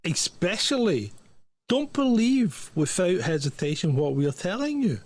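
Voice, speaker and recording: A man's voice: sits high, sing-song, measured pace, a little mellow, no room sound. A man co-hosting a casual podcast, talking emphatically and laughing often. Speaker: podcast co-host. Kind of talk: casual chat.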